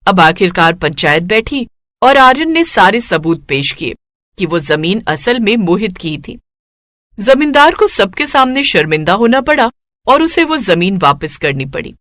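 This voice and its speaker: A woman narrating a scripted moral story as an expressive storyteller. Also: medium pitch, measured pace, dry recording, clean studio sound.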